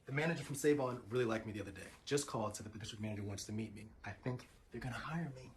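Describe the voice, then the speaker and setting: An actor playing a brisk, plain-spoken husband in a naturalistic screen drama, casual in delivery. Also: male voice, mid-range voice, slight background noise, natural voice. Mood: hopeful, casual.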